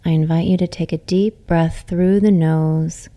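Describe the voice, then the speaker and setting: Slow, low-voiced woman guiding relaxation. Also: fairly even intonation. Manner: soothing, gentle, unhurried. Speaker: yoga nidra teacher. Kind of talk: guided relaxation meditation.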